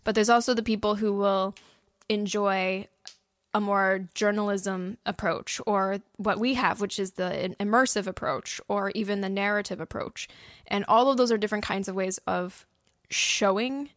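A woman's voice: medium pitch, steady tone, normal speed, no room sound, clean studio sound. A woman co-hosting a podcast as a writer, explaining things in casual, informal chat. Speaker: podcast co-host and audio drama writer.

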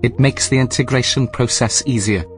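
A man playing a calm, polite station computer in a sci-fi audio drama, speaking in a measured, formal, matter-of-fact way. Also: medium pitch, natural voice. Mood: placid, explanatory.

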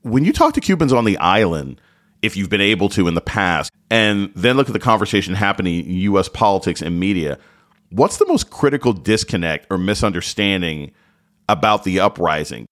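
A male radio host with a very deep voice introduces his guest from a script. He asks his questions in a measured, calm and steady way.

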